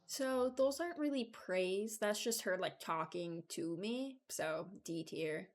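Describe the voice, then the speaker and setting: A woman's voice: medium pitch, expressive, slow pace. A woman giving casual, unscripted commentary as a video creator, hesitant and thinking aloud. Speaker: video creator ranking game voice lines.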